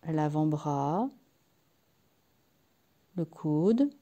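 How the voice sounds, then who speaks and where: A woman leading a guided yoga nidra relaxation in a soft, sometimes breathy voice, soothing and unhurried, leaving long pauses between short phrases. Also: deep voice, expressive. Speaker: guided meditation and sophrology practitioner.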